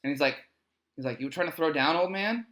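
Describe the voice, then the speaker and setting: A man's voice: fairly high pitch, quick pace, a bit bright. A young man hosting a podcast in casual chat with friends, rowdy and swearing as he sets up the game. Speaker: podcast host. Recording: echoey room.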